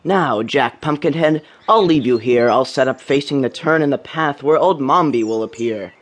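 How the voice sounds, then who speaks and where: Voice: medium pitch, normal speed, natural voice. An actor playing a mischievous young boy in a radio drama, eager and cheeky. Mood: proud, playful. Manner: eager, boyish, theatrical. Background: music.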